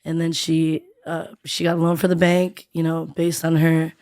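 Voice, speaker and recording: A woman's voice: low voice, normal speed, no echo. Late-30s woman from the philippines, raised in hawaii, talks loose and slangy, laughs a lot, dry close sound. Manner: relaxed and slangy, laughs often. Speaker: guest musician. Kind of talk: casual interview chat.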